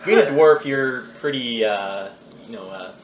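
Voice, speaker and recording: A man running a tabletop game as game master, describing scenes off the cuff with halting pauses. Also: medium pitch, lively intonation. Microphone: phone recording the group. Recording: noisy muffled phone recording in echoey room.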